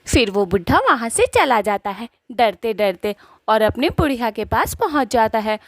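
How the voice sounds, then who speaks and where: High-voiced woman narrating a story. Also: very animated, moderate pace, no echo, clean studio sound. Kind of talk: scripted cartoon story narration.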